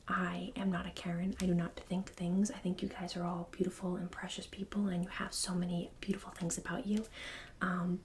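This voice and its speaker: A woman whispering casually to viewers in an ASMR style, gentle, apologetic and reassuring. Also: mid-range voice, slight background noise. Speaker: ASMR creator.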